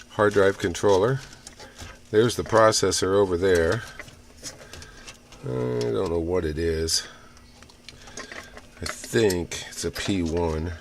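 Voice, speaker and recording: Guy doing a casual hands-on vlog, talks real slow and halting, kinda muttering, some noise behind him. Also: sits low. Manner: halting, muttering to himself while inspecting. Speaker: hobbyist vlogger showing old computer hardware. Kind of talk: casual off-the-cuff hands-on commentary. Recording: clear background noise with room ambience.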